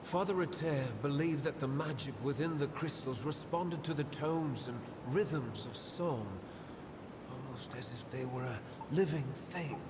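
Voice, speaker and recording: A man acting a fervent, obsessed young acolyte in a video game, speaking with dreamy, halting reverence. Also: natural voice. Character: obsessed young acolyte, crystal-mad killer, fervent and haunted. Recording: long cavernous reverb, loud rumbling noise.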